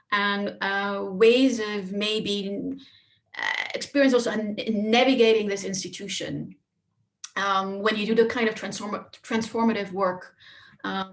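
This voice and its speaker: A woman in her thirties from Austria, speaking softly and carefully on a panel with many fillers. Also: medium pitch. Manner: soft-spoken, careful, with fillers. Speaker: panelist, academic. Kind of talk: panel talk.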